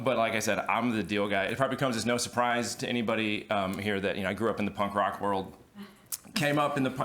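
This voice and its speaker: A man's voice: mid-range voice, fairly bright tone. A man speaking as a music lawyer on a conference panel, with hesitant, restart-filled phrasing. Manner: hesitant, many ums and restarts, self-deprecating. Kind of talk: panel talk.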